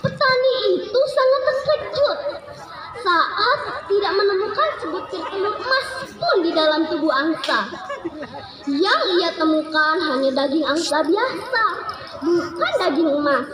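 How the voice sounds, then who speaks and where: A woman with a very high, lively voice narrates a storybook tale aloud. Her pitch moves up and down a good deal as she reads. She is recorded in an echoey room with noise around her. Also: a little slow. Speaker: storyteller reading a fable aloud. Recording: echoey, noisy room with background crowd.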